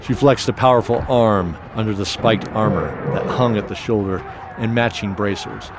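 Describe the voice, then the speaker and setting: A man's voice: lowish voice, normal speed, background noise. A man narrating a scripted fantasy story with dramatic, descriptive storytelling. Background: music.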